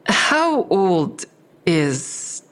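A woman's voice: lowish voice, sing-song, extremely slow, fairly bright tone, heavily acted. An actress playing a guarded, uneasy female counselor who narrates her own story in an intimate, restrained audio drama style. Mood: guarded, professional.

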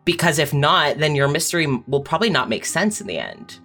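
A woman's voice: sits low, lively intonation, a little fast, fairly bright tone. Candid woman writer over faint guitar. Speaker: mystery writer, podcast guest. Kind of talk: candid conversational reflection.

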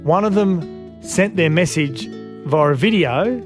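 A man's voice: on the high side, animated, slow pace, music in the background. A man co-hosting a radio show, riffing on the news with playful, absurd jokes. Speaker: radio co-host. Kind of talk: casual banter.

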